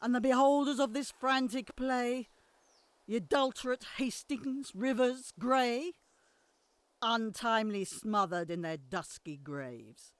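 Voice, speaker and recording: A woman's voice: fairly high pitch, takes it slowly, no echo. A woman playing a bitter, vengeful old deposed queen in an audio drama, moving from hissed whispers to fierce curses. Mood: cursing. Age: older. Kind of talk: Shakespearean audio drama acting.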